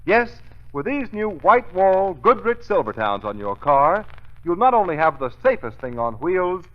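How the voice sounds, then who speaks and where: A man's voice: high voice, sing-song, a little slow. A man announcing on vintage radio with an emphatic salesman's advertising delivery. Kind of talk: 1930s radio advertising pitch. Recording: thin, narrow-band vintage radio recording.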